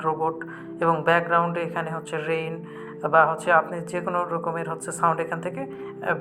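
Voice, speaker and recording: Fast, even-toned Bangladeshi man presenting a tutorial. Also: a little high. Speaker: tech tutorial host. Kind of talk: step-by-step app tutorial narration. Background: background music.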